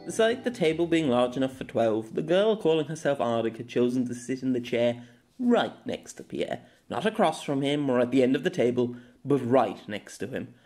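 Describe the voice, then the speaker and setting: A man reads his own fantasy novella aloud in an expressive storytelling manner, his pitch at an ordinary middle level. A faint music bed runs under his voice through this amateur audiobook recording. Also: moderate pace. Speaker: narrator.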